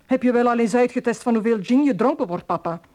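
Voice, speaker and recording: A woman's voice: a little high, quick pace, a little mellow, natural voice. A woman acting in a Flemish radio drama as a worried, insistent young bride talking to her father. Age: young adult.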